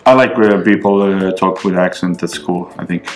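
A very deep-voiced man with an Estonian accent, a podcast guest who pauses often to search for English words. Faint music plays underneath. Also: fairly even intonation. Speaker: guest, rapper. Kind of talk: casual interview chat.

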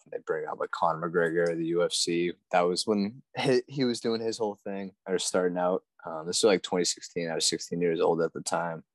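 A man's voice: deep voice, dry recording. A man in his twenties from Massachusetts, a guest on a podcast, easygoing and self-deprecating, with lots of fillers. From Massachusetts, USA. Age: 20s. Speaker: podcast guest, amateur fighter. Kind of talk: casual interview talk.

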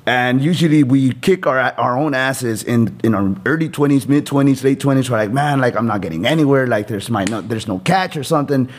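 A man's voice: medium pitch, brisk, hardly any pauses. A man in his thirties hosting a podcast interview in a casual, motivational style, with informal, rambling talk and some swearing. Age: 30s. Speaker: podcast host.

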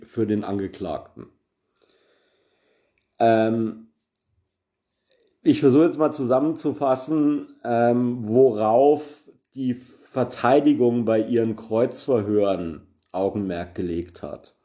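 A man's voice: mid-range voice, dark tone. A man hosting a solo podcast, explaining a news topic carefully with many hesitations and filler sounds. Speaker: podcast host.

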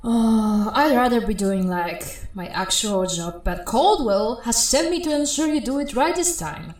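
A woman's voice: fairly high pitch, animated, a little slow, darkish tone. A woman playing a blunt, exasperated female systems technician in a sci-fi comedy audio drama, with sarcastic delivery. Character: exasperated, scolding female spaceship systems tech. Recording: roomy echo.

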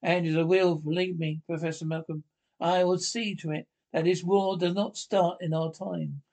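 High-pitched man haltingly reading a script. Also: level tone, a little mellow. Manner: halting, stumbling over words and repeating them; reads speaker names aloud along with the lines. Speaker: amateur script reader. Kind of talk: reading a radio drama script aloud.

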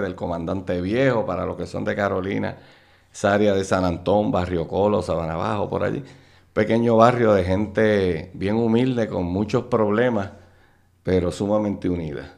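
A middle-aged man with a Puerto Rican accent and a low, gravelly voice. He tells stories about his childhood easily, laughing often as the memories come back, like a guest settled into a long conversation. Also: a little mellow. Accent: Puerto Rican Spanish. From Puerto Rico. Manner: laughs often while recalling old times. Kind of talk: casual storytelling interview.

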